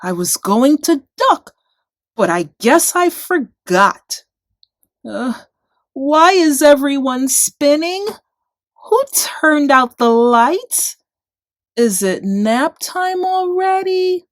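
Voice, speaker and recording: A woman's voice: sits high, animated, very slow, no room sound, studio quality, voice pushed up, heavily acted. A woman voicing a mean, boastful giant warrior man in a rhyming children's storybook, mocking and theatrical. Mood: dazed.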